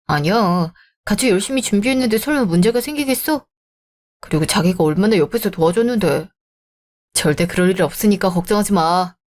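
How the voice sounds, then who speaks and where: A woman's voice: medium pitch, expressive, a little fast, a little mellow, close to an everyday voice. A woman voicing an earnest, reassuring young man in a radio drama. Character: caring young adult man, earnest boyfriend.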